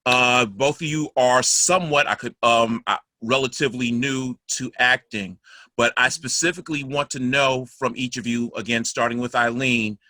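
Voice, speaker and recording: A middle-aged man, an actor moderating a casual panel over a video call in measured, slightly formal wording. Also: mid-range voice, bright, forceful tone, no echo.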